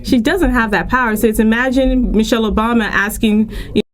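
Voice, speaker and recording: A woman's voice: on the high side, fairly quick, rough recording. A woman from Lagos, speaking earnestly and emphatically as an articulate radio interview guest. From Lagos, Nigeria.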